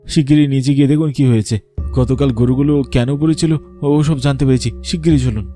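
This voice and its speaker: A man's voice: medium pitch, steady tone, fast talker, mellow, faint music behind, close to an everyday voice. A man voicing an alarmed, deferential old family servant, urgent and flustered, in a horror cartoon. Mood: panicked. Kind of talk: Bengali horror cartoon acting.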